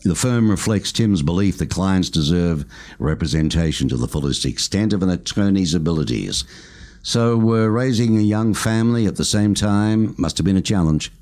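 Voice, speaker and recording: A man's voice: low-pitched, lively intonation, moderate pace, darkish tone. A man hosting a business radio interview, affable and chatty, reading out the guest's background. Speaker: radio show host.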